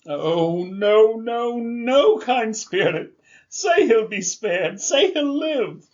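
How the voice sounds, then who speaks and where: A man's voice: very high-pitched, animated, extremely slow, a little mellow, muffled recording, heavily acted. A man playing an anxious old miser, pleading and imploring, in an audio drama.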